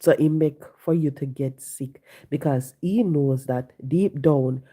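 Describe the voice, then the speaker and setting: Hoarse low-voiced woman. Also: unhurried, mellow. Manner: preachy, repeats points. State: hoarse and congested from flu. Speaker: livestream host. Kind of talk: casual live-stream talk reading viewer comments. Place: home, sitting.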